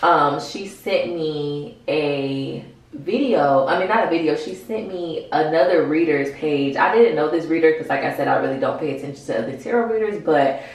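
A woman's voice: low-pitched, moderate pace, a little mellow. A woman in her twenties with an American accent, giving casual, frank, slangy advice to camera in a vlog. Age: 20s. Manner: informal, slangy, frank, sprinkled with swearing. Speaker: vlogger, small business owner. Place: bathroom, indoors. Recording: very echoey small tiled room.

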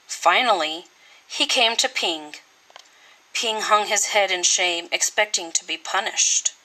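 Bright-voiced woman reading a storybook, unhurried. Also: mid-range voice, rough recording. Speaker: teacher reading a picture book. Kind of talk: expressive storybook read-aloud for children.

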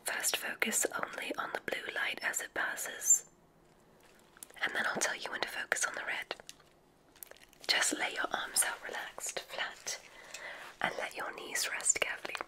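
Slow whispering ASMR woman. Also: rough recording. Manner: slow, soothing, instructive. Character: gentle witch examiner at a magic school. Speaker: ASMR roleplay creator.

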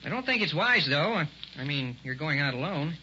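A man's voice: a little high, sing-song, brisk. An actor playing a meek, matter-of-fact little man with ominous news, hesitant, in old-time radio horror. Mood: cautious. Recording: noisy muffled vintage radio recording.

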